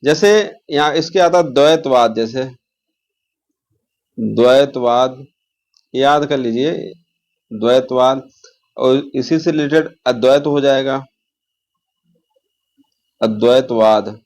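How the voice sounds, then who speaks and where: A man's voice: mid-range voice, slow, pauses often, darkish tone. A man teaching an informal exam lesson, repeating key terms and posing questions as he explains.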